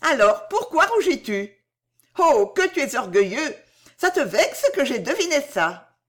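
A woman's voice: high-pitched, sing-song, unhurried, voice pushed up. A woman voicing a playful, coquettish young woman who teases with an ingenuous, familiar manner in a storybook reading. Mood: teasing, probing. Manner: teasing, insistent, familiar.

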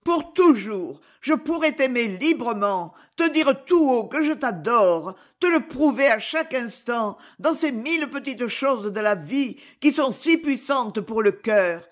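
A woman's voice: on the high side, expressive, a little slow, few pauses, pitched above the performer's own voice. A woman reading an audiobook, voicing a young noblewoman in passionate despair with an impassioned, pleading, trembling delivery.

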